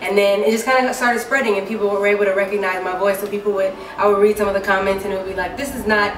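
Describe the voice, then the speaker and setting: A woman singer giving a casual interview, talking in a deep, low speaking voice with many easygoing fillers. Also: fast. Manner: relaxed and chatty, many fillers like "um" and "you know". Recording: echoey room, mic far off, clear background noise.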